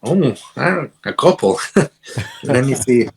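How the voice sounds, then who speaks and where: A man from Guatemala with a Guatemalan Spanish accent tells stories casually as an interview guest, in a halting way, repeating words as he goes. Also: medium pitch, animated, slightly rough, low-quality recording. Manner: halting, repeats words, peppered with "you know". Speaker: interview guest, guitarist and music teacher. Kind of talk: casual conversational storytelling.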